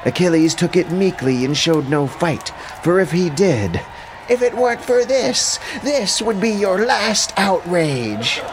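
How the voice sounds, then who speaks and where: Shrill, sneering soldier rabble-rouser. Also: male voice, lively intonation, background noise. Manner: drawn-out, railing, sneering. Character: ugly spiteful mocking soldier, rabble-rouser.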